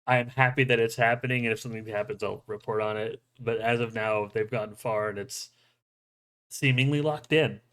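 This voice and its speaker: A man's voice: mid-range voice, fairly even intonation, brisk, a bit bright. A man hosting a livestream with casual movie news chat, joking and swearing freely. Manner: jokey, profane. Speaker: show host.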